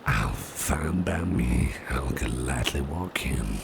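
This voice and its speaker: Man as a very deep, gravelly, soothing boss. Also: expressive, noisy background, full character voice. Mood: gentle, courteous. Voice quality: very deep, gravelly, often breathy and near-whispered. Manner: gentle, reassuring, unhurried, repeats phrases softly. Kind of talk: ASMR roleplay, soft personal attention.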